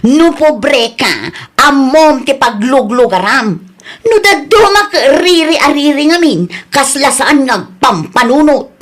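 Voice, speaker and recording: A woman's voice: high-pitched, animated, slow, a bit bright, heavily acted. A woman playing a stressed, defensive young food vendor in a comedy drama, with an Ilocano accent and plaintive, insistent delivery. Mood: upset.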